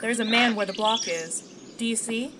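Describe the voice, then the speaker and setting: A woman voicing a gentle, caring young woman with a soft, calm manner in a fan comic dub. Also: fairly high pitch, moderate pace, heavy background noise, natural voice. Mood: gentle concern.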